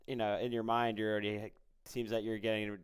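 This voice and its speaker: A man hosting a casual interview, encouraging his guest and feeling his way through questions haltingly. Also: on the low side, flat delivery, clear, resonant tone. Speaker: podcast host and interviewer.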